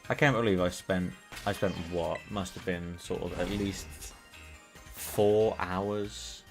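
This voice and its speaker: A British man streaming a game, chatting casually in a rambling, profane way that he calls monotone. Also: low-pitched, takes it slowly, gravelly, faint music behind. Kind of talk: casual live-stream chat while gaming.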